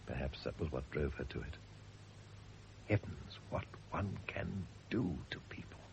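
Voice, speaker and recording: Very deep, creaky, suave gentleman. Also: lively intonation, a little slow, full character voice. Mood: rueful, pensive. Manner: polite, reflective, restrained. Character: suave, subdued married gentleman under suspicion, remorseful. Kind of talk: classic radio drama acting. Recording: noisy old radio recording.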